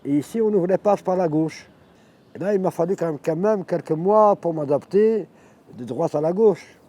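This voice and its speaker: A man in his fifties from Morocco, an Arabic speaker, telling his life story in a reflective, halting way. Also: high voice, mellow. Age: 50s. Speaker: interviewee. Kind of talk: personal life testimony.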